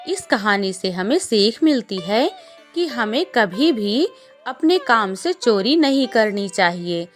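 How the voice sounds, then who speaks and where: A woman's voice: mid-range voice, fairly bright tone. A woman narrating a scripted children's story as a storyteller.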